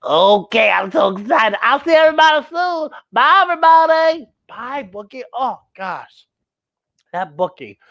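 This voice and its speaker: A woman hosting a children's story time, excitable and playful, with exaggerated expressive storytelling. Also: medium pitch.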